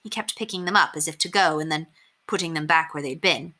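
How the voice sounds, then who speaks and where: A woman narrating a children's book aloud as an expressive storyteller. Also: deep voice, brisk.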